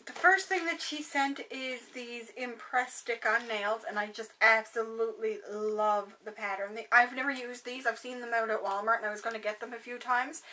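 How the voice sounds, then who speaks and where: A young woman with a hoarse, tired voice chatting casually to camera in her bedroom, rambling with lots of fillers. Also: fairly high pitch, fairly even intonation, moderate pace, hardly any pauses, bright, forceful tone, far from the mic, low-quality recording. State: hoarse, voice going. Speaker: beauty vlogger. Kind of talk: casual haul chat to camera. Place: bedroom, indoors. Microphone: webcam at desk distance.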